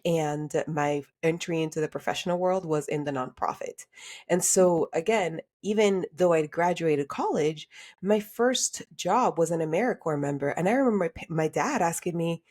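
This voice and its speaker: A woman with a slightly low voice talks as a guest on a podcast. She grew up in Honduras and then the United States, and she tells personal stories in a candid, reflective, conversational way. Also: darkish tone. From Honduras until age eight, then United States. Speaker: podcast guest, career coach.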